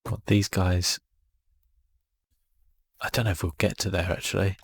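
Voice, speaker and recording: A man giving soft-spoken ASMR commentary as he plays a game, thinking aloud in a relaxed way. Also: low voice, unhurried, husky. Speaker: gaming video host.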